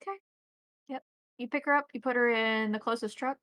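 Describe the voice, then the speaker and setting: A woman's voice: on the high side, steady tone, brisk, a bit bright, no echo. A woman narrating a tabletop roleplaying game as game master, checking back with her players as she goes.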